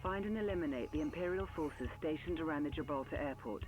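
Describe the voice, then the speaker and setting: An actor playing a businesslike military briefing officer in a strategy game, speaking in a crisp, official manner. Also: male voice, a little high, fast, darkish tone, soft background music, close to an everyday voice. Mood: formal.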